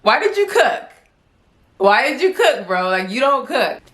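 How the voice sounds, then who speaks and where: A woman's voice: sits high, very animated, a little slow, fairly bright tone. A woman in her twenties telling a story to camera in a chatty, rambling way, full of "like", with a stutter and repeated words. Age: 20s. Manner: chatty and rambling, heavy use of "like". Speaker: vlogger.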